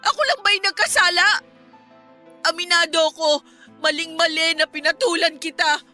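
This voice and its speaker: A woman's voice: extremely high pitch, normal speed, pushed and bright, soft background music. A woman playing a hurt, defiant young wife and mother in an emotional, pleading Filipino radio drama. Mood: angry, defiant.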